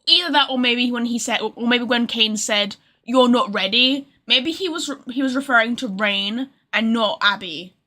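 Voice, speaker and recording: A woman's voice: fairly high pitch, moderate pace, few pauses, bright, forceful tone. A woman streamer giving casual livestream commentary, rambling and speculating, often trailing off mid-thought.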